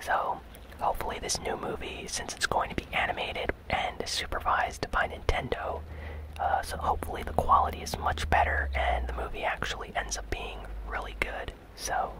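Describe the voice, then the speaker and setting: Soft, breathy whispered ASMR voice. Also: poor audio. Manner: gentle, casual, unhurried delivery. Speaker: host of a gaming news channel. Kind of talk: whispered ASMR news commentary. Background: rain sounds.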